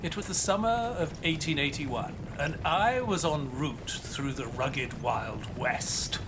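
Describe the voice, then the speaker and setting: A man's voice: on the high side, takes it slowly, noisy background. A man with an English accent playing a proper army doctor, who narrates and speaks with polite, dry exasperation. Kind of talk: audio drama narration.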